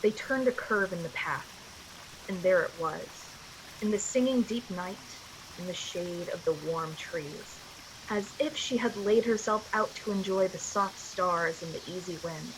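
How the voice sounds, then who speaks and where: A woman's voice: on the low side, measured pace, noisy background, lightly acted. A woman playing the solemn storyteller of an eerie radio play, narrating a scripted tale. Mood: eerie, descriptive.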